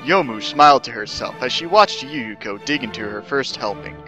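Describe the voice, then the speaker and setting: A man narrates a story in a bright, forceful voice over music. His delivery is theatrical and mock-serious, like a formal dramatic reading. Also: medium pitch, expressive, moderate pace. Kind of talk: scripted dramatic reading of fan fiction.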